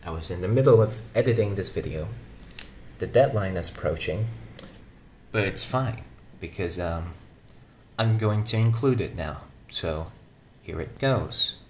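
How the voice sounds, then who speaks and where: A man gives an informal, halting aside in a very low voice. There is faint ambient noise behind him. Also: lively intonation, a little mellow. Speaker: video creator. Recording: clear ambient background noise.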